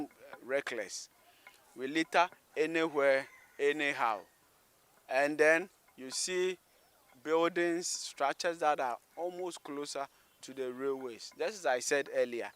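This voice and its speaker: A man's voice: mid-range voice, fairly bright tone, clean tone. A man with a Ghanaian accent giving spontaneous on-location commentary as a presenter, repeating words and phrases for emphasis. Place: outdoors beside a railway.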